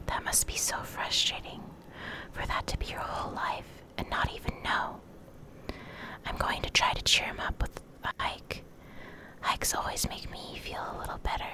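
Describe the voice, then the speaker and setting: A woman doing an ASMR reading in a soft, breathy whisper, deliberate and pausing between short phrases. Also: rough recording. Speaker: ASMR creator reading game lore.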